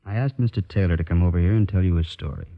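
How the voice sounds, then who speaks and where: A man's voice: low-pitched, very fast, no echo. An actor playing a firm, blunt, fatherly police sergeant in an old-time radio drama. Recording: muffled, noisy old radio recording.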